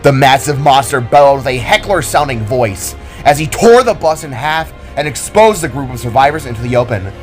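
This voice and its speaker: A man's voice: mid-range voice, expressive, brisk, hardly any pauses. Man narrating a dramatic story over music. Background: background music.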